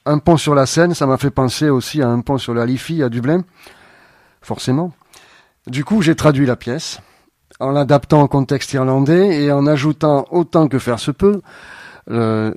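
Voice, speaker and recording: Male playwright guest, dry studio sound, mid pitch, measured, hesitant phrasing. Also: darkish tone, no room sound. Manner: thoughtful, hedging with fillers. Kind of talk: radio interview answers. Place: radio studio.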